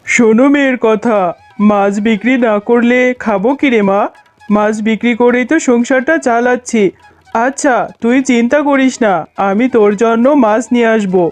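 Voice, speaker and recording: A doting fox father from a children's cartoon, acted by a woman, talks in a high voice. He sounds homely and expressive, a working fisherman talking to his family. Music runs underneath. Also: moderate pace, close to an everyday voice. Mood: chiding, then soothing.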